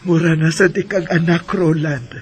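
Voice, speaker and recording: A man voicing a kind older woman in a radio drama who helped a family, speaking fondly with a husky voice and near tears. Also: a little high, fairly even intonation, moderate pace.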